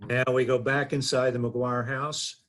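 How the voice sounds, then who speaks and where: A man reading the stage directions aloud at a table read in a plain, steady way. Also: mid-range voice, measured pace.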